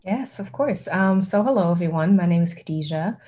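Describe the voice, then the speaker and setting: A woman's voice: fairly low pitch, animated, brisk, mellow. Chatty young woman from Germany. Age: young adult. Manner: chatty, many "like" and "um" fillers. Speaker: student panelist. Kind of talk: casual self-introduction. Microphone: video call.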